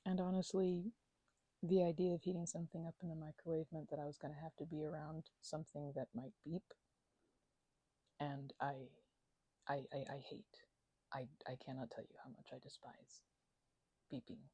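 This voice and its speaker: A woman in an ASMR roleplay talking to the listener as a roommate, rambling and hesitant, with lots of fillers and stammered restarts and soft whispers at times, close to the microphone. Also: low voice, normal speed, long pauses, slightly rough, dry recording, muffled recording.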